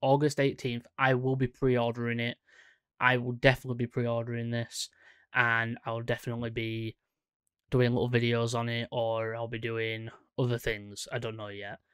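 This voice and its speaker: A man's voice: mid-range voice, monotone, normal speed, a bit bright, clean tone, no room sound, studio quality. A young man hosting a horror channel, talking casually about a game trailer, rambling and correcting himself with lots of fillers, at a desk mic in a small room. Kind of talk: casual reaction commentary. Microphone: studio mic on stand in front.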